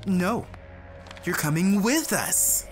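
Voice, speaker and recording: A man's voice: high-pitched, very animated, slow pace, heavily acted. An actor playing a menacing, unhinged young man in an audio drama, taunting and mock-casual. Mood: commanding. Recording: music behind the voice.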